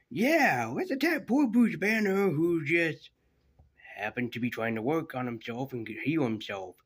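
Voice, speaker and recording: Man giving a rambling, casual review. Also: medium pitch. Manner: conversational, hesitant, digressive. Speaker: YouTube cartoon reviewer.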